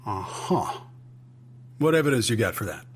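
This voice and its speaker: A man's voice: fairly low pitch, sing-song, moderate pace, husky. An actor playing a weary, skeptical male intelligence chief in an audio drama, clipped and sardonic with heavy sighs. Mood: skeptical, curt. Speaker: senior agency official.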